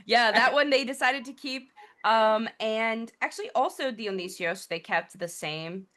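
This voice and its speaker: A woman's voice: a little high, measured pace, a bit bright. A Greek-American woman guest on a podcast, explaining earnestly in conversation and sometimes mimicking others' voices. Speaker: guest, priestess teacher. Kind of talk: conversational interview.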